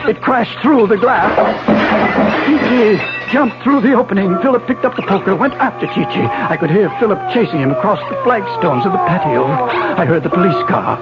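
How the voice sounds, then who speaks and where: Loud music swells behind a muffled old radio drama recording. A gravely wounded professor narrates in halting, dramatic phrases. His voice sits fairly high for a man. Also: big pitch swings. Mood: urgent, agitated. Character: gravely wounded male professor recounting a violent attack. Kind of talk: 1970s radio drama acting.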